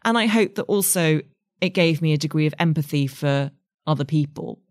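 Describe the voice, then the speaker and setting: English woman in her 40s, deep voice, talking it through slow and honest, dry sound. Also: darkish tone, no echo. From England. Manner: thoughtful, candid, measured. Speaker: writer and journalist guest. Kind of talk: reflective interview conversation.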